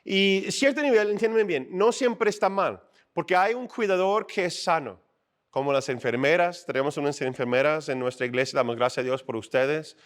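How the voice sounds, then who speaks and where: A middle-aged male pastor preaches on a church stage, his voice sitting a little high for a man. He talks in a loose, conversational way, acting out little everyday scenes and often checking in with the congregation. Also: moderate pace. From outside Mexico. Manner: conversational, animated, acts out little scenes and asks "¿no?". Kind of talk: sermon.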